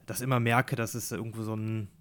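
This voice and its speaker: A man's voice: lowish voice, little pitch movement, fairly quick, fairly bright tone, slight background noise. A young man co-hosting a podcast, talking in a hesitant, reflective casual chat with many fillers. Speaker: podcast co-host.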